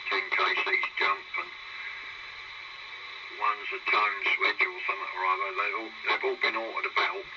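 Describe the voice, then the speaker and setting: A man's voice: lowish voice, flat delivery, moderate pace, muffled recording, very noisy. A British man chatting casually over CB radio in a rambling, matey, mildly sweary way, through a staticky transmission. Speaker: CB radio hobbyist.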